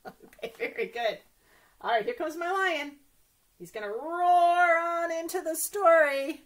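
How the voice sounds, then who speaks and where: A woman's voice: very high-pitched, measured pace. A woman reading a picture book aloud for young children as a story time host, playfully exclaiming and asking her listeners questions.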